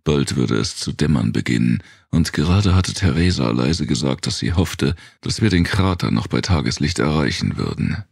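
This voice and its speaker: A man narrating a dark post-apocalyptic thriller audiobook in the first person, reading from a script. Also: very deep voice, expressive, moderate pace, creaky, hardly any pauses, slightly rough, dry recording, studio quality.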